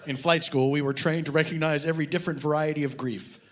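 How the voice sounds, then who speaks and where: A man's voice: fairly high pitch, fairly even intonation, fast talker, close to an everyday voice. A man voicing a grave, self-important retired pilot turned detective in a comic story. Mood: solemn, condescending. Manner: grave, pompous.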